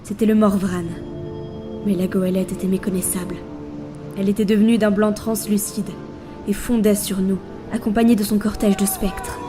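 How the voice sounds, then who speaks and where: A woman's voice: medium pitch, little pitch movement, normal speed, echoey room, big-room sound, natural voice. A woman playing the Ankou, death's supernatural servant, with composed, dry and sardonic delivery in a French audio fiction. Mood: composed, urgent. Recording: music under the voice.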